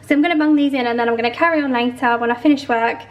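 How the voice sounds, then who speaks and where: A woman chatting casually through a baking vlog with rambling explanations, her voice slightly hoarse. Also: on the high side, very fast. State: slightly hoarse from a long call. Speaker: vlogger and home baker. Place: home kitchen. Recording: echoey room, faint noise, clicks.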